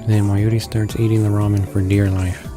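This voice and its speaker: Guy reading game text out loud, flat n lowish, stumbles a bit, music behind. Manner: halting, stumbles over words. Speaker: let's play reader.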